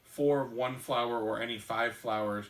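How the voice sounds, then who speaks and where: A man hosting a casual board game show, explaining the rules in a halting way with many ums and restarts. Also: medium pitch, fairly even intonation, fairly bright tone, reverberant, rough recording.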